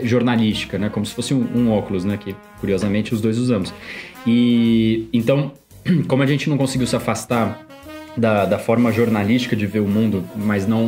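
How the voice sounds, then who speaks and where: A man's voice: fairly low pitch, darkish tone. A Brazilian man with a São Paulo accent, co-hosting a casual podcast with a hesitant, self-deprecating manner and many restarts. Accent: São Paulo Portuguese. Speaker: podcast co-host. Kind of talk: casual chat.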